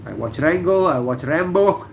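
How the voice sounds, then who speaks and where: A middle-aged man from Indonesia hosting a relaxed livestream, answering viewer comments with lots of fillers and pauses. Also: a little high, gravelly, slight background noise, rough recording. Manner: relaxed, halting, many fillers. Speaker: livestream host. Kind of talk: casual livestream chat reading viewer comments. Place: room indoors.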